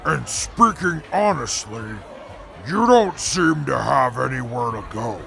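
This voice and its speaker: Man voicing a formal companion, heavy vocal fry, very slow lively delivery. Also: mid-range voice, music in the background, a crowd in the background, heavily acted. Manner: formal, measured.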